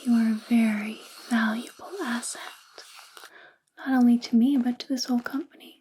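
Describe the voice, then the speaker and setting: A woman playing a possessive, doting female boss in a soft-spoken ASMR roleplay, breathy and mostly whispered, intimate and coaxing, close to the microphone. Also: fairly high pitch, steady tone, normal speed, slight background noise, close to an everyday voice, low-quality recording. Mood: protective, controlling.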